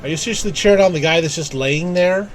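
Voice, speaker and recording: High-voiced man casually streaming a game. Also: quick pace, soft background music. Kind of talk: live gameplay commentary, casual.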